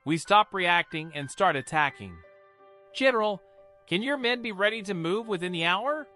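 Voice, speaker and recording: Man as a commanding policewoman, high and forceful. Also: animated, moderate pace, soft background music. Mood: resolute. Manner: authoritative, clipped, forceful. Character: commanding, resolute senior policewoman. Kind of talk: dramatised folktale narration.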